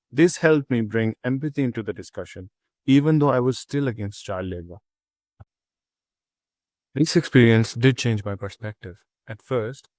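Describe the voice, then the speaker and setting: A young man from Pakistan, a student, reading a prepared reflection in a steady, earnest way with small restarts. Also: medium pitch, no room sound, clean studio sound.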